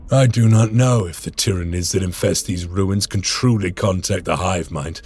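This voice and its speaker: A man playing a grave, weary space marine commander in a game, solemn, measured and formal. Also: low-pitched, a little mellow, breathy edge, soft background music. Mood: grave, brooding. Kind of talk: dramatic scripted game acting.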